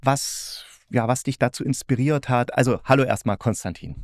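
A man hosting a conversational podcast interview, speaking haltingly as he searches for his words. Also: medium pitch, dry recording, clean studio sound.

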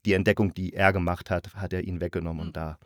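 A man's voice: low voice, flat delivery, quick pace, dry recording, clean studio sound. A man hosting a review podcast, chatting casually with a guest and explaining things as he goes. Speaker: host of an audio drama review podcast.

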